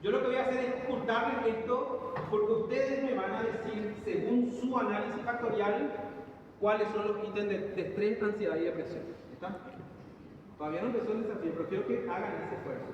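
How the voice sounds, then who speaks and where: A high-voiced man lectures a class, walking students through the analysis step by step and quizzing them as he goes. He sounds far from the recorder, in a big echoing room with a long reverb tail and steady background noise. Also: fairly quick, highs cut off. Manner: explains step by step, asks the class questions. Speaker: psychometrics teacher.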